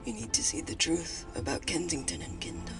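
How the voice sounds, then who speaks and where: Defiant secretive researcher, music behind. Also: female voice, low voice, expressive, fairly quick, vocal fry. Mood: insistent. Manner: defensive, insistent. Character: defiant, secretive sick woman researcher confessing. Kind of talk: naturalistic film drama acting.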